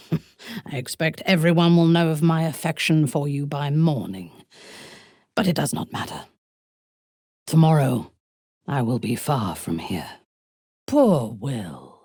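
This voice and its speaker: A woman playing a proud, ruthless dark elf noblewoman in a video game, speaking formally and deliberately with a sardonic, coolly menacing manner. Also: deep voice, lively intonation, husky, no room sound, studio quality, full character voice. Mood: wry, cool. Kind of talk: video game character voice acting.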